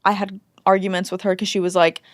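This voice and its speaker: A woman's voice: mid-range voice, flat delivery, fast talker, no room sound. Candid, informal woman guest in dry sound. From Southern United States. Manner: informal, candid, acts out quoted remarks. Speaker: podcast guest, former teacher. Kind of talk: casual candid chat.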